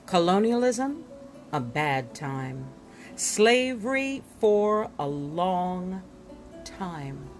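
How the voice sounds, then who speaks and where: A woman reading a history book aloud in an expressive, storytelling way, with deliberate dramatic pauses and emphasis. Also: fairly low pitch, faint music behind. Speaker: reader presenting an illustrated history book. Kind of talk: expressive read-aloud narration with asides.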